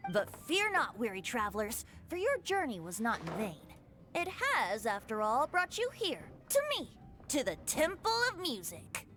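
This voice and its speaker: A woman's voice: high-pitched, fairly bright tone. A woman voicing a breezy, theatrical young male bard in a game, playful and flowery in speech. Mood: grandiose. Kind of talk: game character voice acting.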